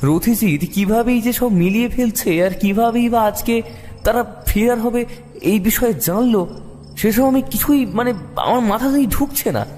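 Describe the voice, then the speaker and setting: A man narrating a detective story in the first person with expressive, suspenseful dramatic delivery. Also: extremely high pitch, moderate pace. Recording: long reverb, music behind the voice.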